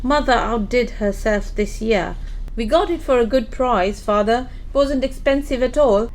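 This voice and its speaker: A woman's voice: fairly high pitch, moderate pace, lightly acted. A woman playing an eager, affectionate working daughter in an audio play. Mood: cheerful.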